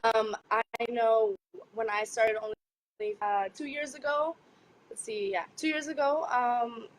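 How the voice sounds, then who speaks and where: A woman's voice: on the high side, unhurried, a little hiss or hum, poor audio. A woman appearing as a featured guest on a live show, talking casually with lots of fillers. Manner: chatty, relaxed, filler-heavy. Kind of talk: casual talk.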